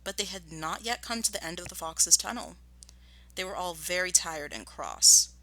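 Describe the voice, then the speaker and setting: A woman teacher giving a storybook read-aloud lesson, explaining and narrating in an expressive manner. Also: on the low side, moderate pace, dry recording, poor audio. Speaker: primary school teacher.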